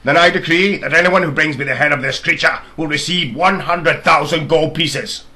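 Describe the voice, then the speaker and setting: A man voicing an evil, ruthless king in a storybook reading, pompous and deliberate, with cold menace. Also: a little high, pushed and bright, pitched above the performer's own voice.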